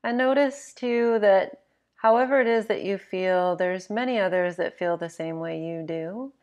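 A woman narrating a guided sleep meditation in a gentle, measured, instructional manner. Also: mid-range voice.

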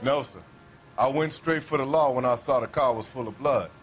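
A man's voice: medium pitch, moderate pace, faint music behind, close to an everyday voice. A man playing a grudging, defensive working-class witness in a video game, evasive and colloquial in his talk. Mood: guarded. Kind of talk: scripted dramatic video game acting.

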